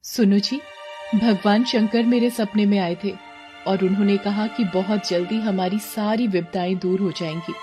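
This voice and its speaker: A woman voicing a patient, hopeful village wife in an animated moral story, earnest and consoling. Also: medium pitch, moderate pace, close to an everyday voice. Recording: loud music under the voice.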